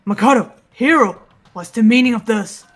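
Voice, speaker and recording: A woman's voice: on the high side, moderate pace, close to an everyday voice. A woman playing a huge, fierce, protective young female fighter in a video game, solemn and intense. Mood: stern concern. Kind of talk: video game character voice-over. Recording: music bed behind voice.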